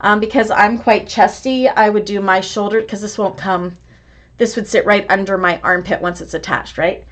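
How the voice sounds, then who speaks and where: A woman's voice: mid-range voice, fairly even intonation, normal speed. A woman teaching a live online sewing class, chatty and encouraging as she answers viewer comments. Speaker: sewing instructor.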